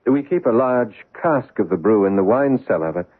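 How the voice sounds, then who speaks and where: A polite uneasy well-bred heir, old radio drama, lowish husky voice, muffled sound. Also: male voice, lively intonation, normal speed. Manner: polite, anxious, hesitant. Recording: muffled narrow-band old radio recording.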